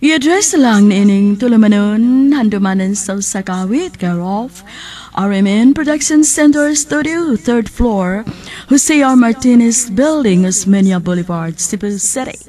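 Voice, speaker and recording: A woman's voice: mid-range voice, measured pace, mellow. A woman with a Cebuano Filipino accent reading a scripted closing announcement as a radio drama announcer.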